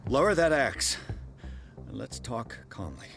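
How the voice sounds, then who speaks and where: An actor playing a composed, persistent private detective in a video game, speaking in a measured, probing, firm way. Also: male voice, mid-range voice, sing-song, vocal fry, music in the background. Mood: calm, probing. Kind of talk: video game cutscene acting.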